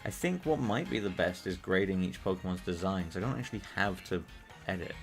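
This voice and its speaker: Low-voiced British man, rambling and sweary. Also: fairly quick, faint music behind. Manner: rambling, profane, self-described monotone. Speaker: streamer. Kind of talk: casual live-stream chat while gaming.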